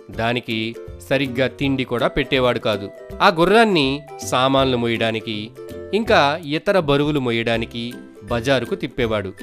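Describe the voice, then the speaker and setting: A man's voice: medium pitch, a bit bright. A man narrating scripted moral stories for children as a storyteller.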